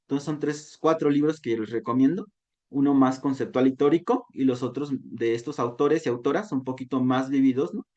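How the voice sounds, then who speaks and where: A man's voice: medium pitch, normal speed, mellow, muffled recording. A man from Mexico with a Mexican accent and Nahuatl as his first language, giving a reflective online lecture. He keeps tagging his sentences with "¿no?". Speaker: volunteer talk facilitator.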